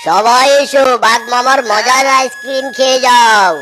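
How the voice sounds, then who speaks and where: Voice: mid-range voice, normal speed, sharp tone, lightly acted. A performer voicing a male tiger uncle in a children's cartoon, an ice cream seller who calls out repetitively. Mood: hawking. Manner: calling out, repetitive sales patter. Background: music.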